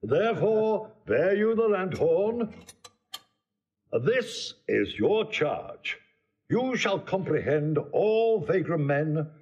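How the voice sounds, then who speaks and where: A pompous, muddle-headed comic constable in an audio play. His highish voice lurches all over the scale as he hands out instructions with great self-importance. The recording is a bit dull. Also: sing-song, takes it slowly, a little mellow, heavily acted. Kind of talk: classical stage comedy acting.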